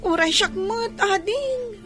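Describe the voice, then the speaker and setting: An anxious young big sister in an Ilocano radio play. The voice is extremely high and childlike, with a plaintive, protective way of talking. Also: female voice, animated, takes it slowly, fairly bright tone, faint music behind, heavily acted. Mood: frightened, pleading. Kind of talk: Ilocano radio comedy drama acting.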